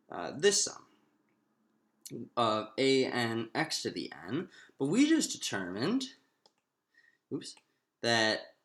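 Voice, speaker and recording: Male maths lecturer, mid-pitched, informal and halting, thinking aloud step by step, roomy echo. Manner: thinking aloud, halting, often restating himself. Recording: echoey room.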